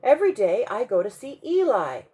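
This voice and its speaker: A carefree little wooden doll girl from a storybook, chirping along with a high, sunny lilt, as a woman puts her on. She talks in a bright, breezy way, bouncing between notes. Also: big pitch swings, normal speed, slightly rough. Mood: cheery.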